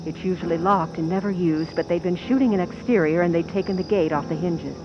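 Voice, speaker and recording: A woman as tense murderous stand-in, radio suspense narrator, hushed deliberate storytelling, music behind. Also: lowish voice, fairly even intonation, dull audio, lightly acted. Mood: tense, secretive. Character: scheming stand-in actress, a woman confessing to murder. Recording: music under the voice.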